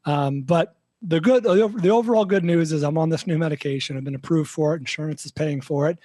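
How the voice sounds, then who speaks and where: A man with a hoarse, raspy voice, a show regular giving a halting, filler-heavy health update in casual podcast chat. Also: a little high, mellow, no echo. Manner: halting, many fillers and restarts.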